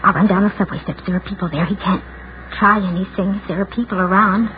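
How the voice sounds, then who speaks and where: A narrow, noisy vintage radio recording carries an actress as a shy, lonely woman of about thirty. She is brittle and anxious, quick to judge, acting in a forties radio-drama manner. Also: mid-range voice, fairly even intonation, lightly acted. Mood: bitter, fretful. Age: 30s.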